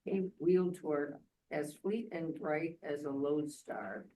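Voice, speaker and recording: A man's voice: fairly high pitch, expressive, a little slow, mellow. Distant older man in book discussion. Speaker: book club participant. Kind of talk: casual discussion, quoting from a book. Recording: distant from the microphone.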